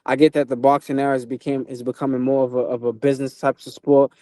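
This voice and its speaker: A young man from Portugal who moved to the US as a boy, chatting casually as an interview guest, halting and repeating himself with lots of "you know". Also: medium pitch, flat delivery, dark tone, no room sound. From Portugal, moved to the US at twelve. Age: young adult. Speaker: professional boxer, interview guest.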